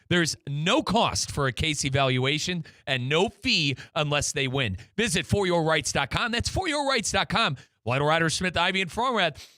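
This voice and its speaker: A man's voice: a little high, pushed and bright, no room sound. A man hosting a sports talk show, speaking emphatically and repeating phrases for weight.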